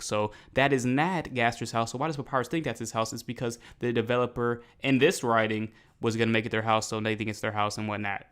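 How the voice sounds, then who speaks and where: A young American man giving casual reaction commentary, animated and emphatic, rambling in slang. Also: medium pitch, clean tone. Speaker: reaction video host. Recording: faint music behind the voice.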